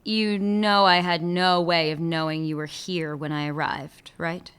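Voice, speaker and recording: A woman's voice: lowish voice, level tone, a little slow, a bit bright, smooth. A woman acting a dry, sarcastic police chief in a radio play. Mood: guarded. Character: sardonic female police chief.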